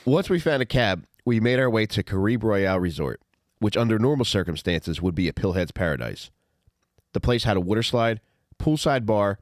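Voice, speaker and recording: A man's voice: lowish voice, no room sound, studio quality. A middle-aged man reading a memoir aloud with blunt, profane, conversational delivery. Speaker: author reading own memoir and podcast host. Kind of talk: audiobook reading with casual comic asides.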